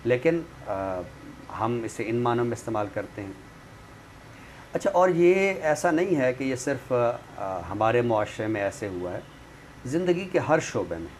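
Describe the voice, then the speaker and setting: A man's voice: medium pitch, big pitch swings, a little slow. Man explaining over background noise. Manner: didactic, checks understanding with tag phrases. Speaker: literary expert guest. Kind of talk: explanatory conversational discussion. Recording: clearly noisy room recording.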